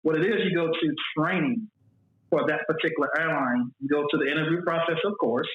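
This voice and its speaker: A man's voice: fairly high pitch, fairly quick. A man in his forties from Mississippi, guest talking casually about his work as a flight attendant, rambling and repeating himself. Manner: repeats words, loose and rambling. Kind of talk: casual interview talk. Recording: echoey room, phone-like audio.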